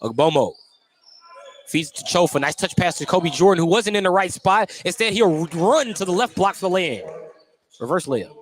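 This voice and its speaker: A man's voice: high voice, expressive, moderate pace. A man doing live radio play-by-play of a basketball game in clipped, descriptive running calls. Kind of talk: live radio sports commentary.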